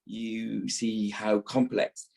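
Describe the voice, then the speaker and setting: A man's voice: lowish voice, monotone, extremely slow, creaky, darkish tone. A man, an actor, talking reflectively as an interview guest, stressing his points by repeating words. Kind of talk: reflective podcast interview talk.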